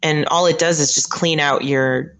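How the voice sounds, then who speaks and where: A woman who works as a late-night radio host, chatting as a podcast guest, halting and laughing often as she talks. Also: low-pitched, fairly even intonation, fairly bright tone, a little hiss or hum. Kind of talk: casual chat.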